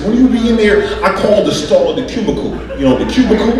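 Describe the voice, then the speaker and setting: A man doing a stand-up comedy set, animated, acting out bits with loud yelps and squeaky voices. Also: quick pace. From United States. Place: comedy club stage. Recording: distant, echoey live club recording with loud crowd.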